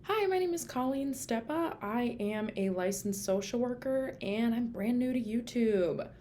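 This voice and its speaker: A woman's voice: fairly high pitch, lively intonation, a little slow, dark tone, a little hiss or hum. A woman with a Minnesota accent, a therapist talking casually and informally in a home video. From Minnesota, USA. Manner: chatty and informal. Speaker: licensed social worker and therapist. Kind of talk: casual video book review. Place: home room used for telehealth sessions.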